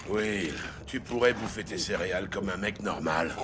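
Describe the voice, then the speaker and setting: A man playing a gruff, weary police lieutenant and father, curt and dry, in an audio drama. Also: low voice, moderate pace, noisy background, natural voice. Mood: terse, dry. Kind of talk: dramatic audio series acting.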